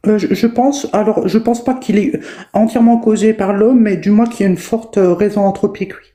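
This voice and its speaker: A woman's voice: medium pitch, steady tone, fairly quick, dark tone. A woman debating in a casual online discussion, hesitant and full of fillers and restarts. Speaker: debate guest.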